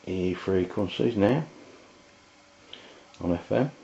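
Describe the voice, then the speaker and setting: A man reviews a product off the cuff. His delivery is halting and informal, feeling its way from point to point. The sound is dull and lo-fi, like an amateur video. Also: deep voice, lively intonation, creaky, dark tone, a little hiss or hum. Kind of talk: casual unscripted review.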